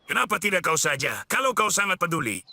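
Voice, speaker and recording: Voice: medium pitch, very animated, brisk, sharp tone, full character voice. An actor voicing an impatient, complaining creature in a dubbed children's cartoon. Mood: snippy.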